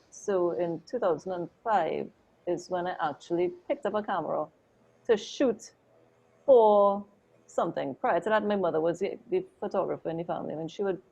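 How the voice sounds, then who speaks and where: This is a woman guesting on an interview, sounding chatty and animated as she recounts her career. Her voice sits somewhat low. The audio is a bit muffled, like a lo-fi video call. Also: gravelly. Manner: chatty and animated, peppered with "like" and "oh my God". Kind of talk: casual storytelling interview.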